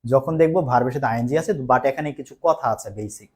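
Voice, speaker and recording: A man's voice: mid-range voice, fast talker, a little mellow, slightly rough. A man with a Bangladeshi accent teaching live online, explaining step by step with repetition and check questions, mixing in English terms. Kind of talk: live online grammar lesson.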